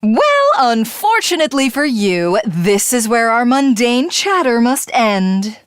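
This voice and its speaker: A woman's voice: fairly high pitch, lively intonation, unhurried, clean tone, no room sound, clean studio sound. A woman voicing a haughty alien villainess in a video game, grandiose, theatrical and condescending. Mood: haughty, menacing. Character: haughty female alien commander craving godhood.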